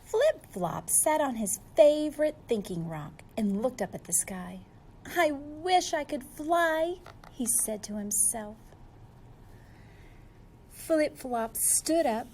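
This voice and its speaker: A woman's voice: on the high side, sing-song, takes it slowly, dark tone, slight background noise. A woman reading a storybook aloud to young children, expressively and speaking to them directly.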